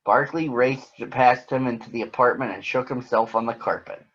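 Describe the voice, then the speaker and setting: A man with a husky voice reads a story aloud for children. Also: medium pitch, normal speed, fairly bright tone, dull audio. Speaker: storybook reader. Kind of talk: read-aloud narration for children.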